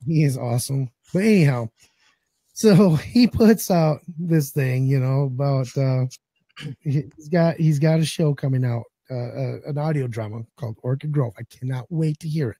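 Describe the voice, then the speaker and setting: A man's voice: mid-range voice, moderate pace, mellow, breathy edge, dry recording. Blunt, profane man with a cracking voice. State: recovering voice, some cracking. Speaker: podcast co-host. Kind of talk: casual chat.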